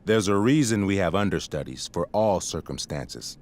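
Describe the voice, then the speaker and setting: An actor playing a stern, middle-aged high school principal with a measured, officious manner in a video game drama. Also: male voice, expressive, a little mellow. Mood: stern, disappointed.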